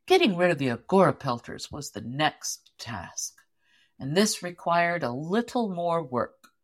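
Expressive female storybook narrator. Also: fairly low pitch, a little slow. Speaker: audiobook narrator.

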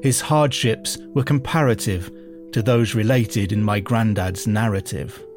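A man giving a scripted reading in a measured delivery. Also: lowish voice, music in the background. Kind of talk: poetry reading and scripted podcast promo.

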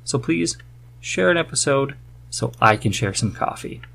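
A man's voice: mid-range voice, lively intonation, normal speed, vocal fry, a bit bright. Man hosting a casual podcast. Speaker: podcast host. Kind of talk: casual chat.

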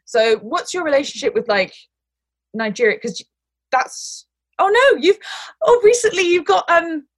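A woman's voice: very high-pitched, lively intonation, unhurried, long pauses, no room sound, studio quality. Host voice: woman, chatty and rambling, lots of fillers, playful asides. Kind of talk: casual chat.

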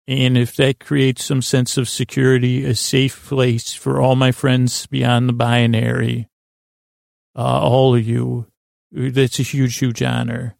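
A man hosting a sleep podcast in a meandering monologue, stumbling over words, circling back and gently goofy and self-deprecating. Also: mid-range voice, completely flat delivery, slow, creaky, no room sound, clean studio sound. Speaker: podcast host.

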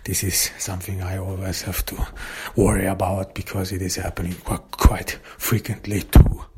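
A man whose first language is German, hosting his own podcast in casual talk, halting with many fillers and restarts. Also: sits low, monotone, darkish tone, husky. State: recovering voice after illness. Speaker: podcast host and author.

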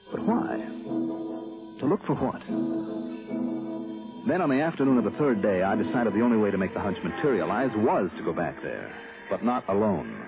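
An actor playing a wry, hard-boiled private investigator in a 1960s radio drama, speaking in a measured, colloquial way. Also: male voice, low-pitched. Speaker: lead detective and narrator of a radio drama. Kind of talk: 1960s radio detective narration and dialogue. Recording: muffled vintage radio recording with music.

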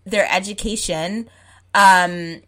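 A young woman from Zimbabwe talks in a casual chat at a mid pitch. She speaks frankly and with emphasis, leaning on "like" as she lines up her points. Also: fairly even intonation, slow pace, a bit bright. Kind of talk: casual conversation.

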